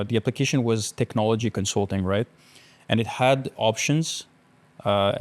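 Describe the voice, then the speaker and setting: A man of twenty-nine from Algeria speaks as an interview guest. His voice is on the low side, and he tells things in a casual, conversational way. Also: darkish tone. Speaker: podcast guest, entrepreneur.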